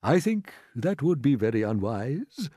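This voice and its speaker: A man's voice: mid-range voice, big pitch swings, unhurried, dark tone, breathy edge, no room sound, studio quality. A man voicing a wise, cautious old male grasshopper in a storybook, courteous and authoritative.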